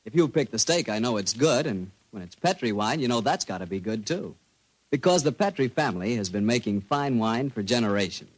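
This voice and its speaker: A man's voice: medium pitch, lively intonation, a little fast, rough recording. A man with an American accent, hosting and reading sponsor messages as a polished, folksy 1940s radio announcer.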